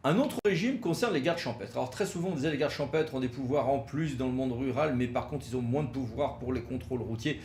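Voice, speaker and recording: A man presents like a legal expert giving a lecture, flagging key points emphatically and often hesitating as he explains. His pitch is average, and the recording has a roomy echo with faint noise behind it. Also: fast, hardly any pauses, a little mellow. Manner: didactic, emphatic signposting with frequent hesitations.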